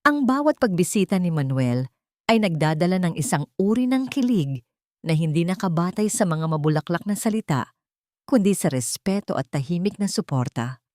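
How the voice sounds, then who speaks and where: A woman's voice: lowish voice, big pitch swings, moderate pace, a little mellow, dry recording. A woman with a Filipino accent reading a scripted dramatic story as narrator.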